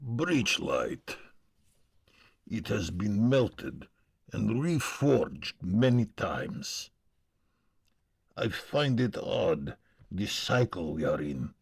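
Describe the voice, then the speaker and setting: A man playing a battle-weary warrior who is endlessly reborn, solemn, brooding and husky, in a game lore reading. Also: lowish voice, very animated, extremely slow, long pauses, exaggerated voice. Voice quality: gravelly, husky.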